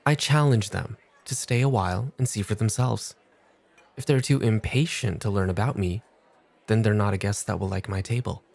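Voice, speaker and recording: A man acting as a cool male club host in an ASMR roleplay. His fairly low voice crackles with fry as he teases drily, firm and never rushed. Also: darkish tone. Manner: firm, dry, measured teasing.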